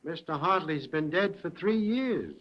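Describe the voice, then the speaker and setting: An actor playing a hesitant, stammering general manager in an old radio drama. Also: male voice, a little high, animated, a little slow. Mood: uneasy. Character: nervous evasive male mill manager. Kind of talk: 1940s radio acting. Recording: dull old radio recording.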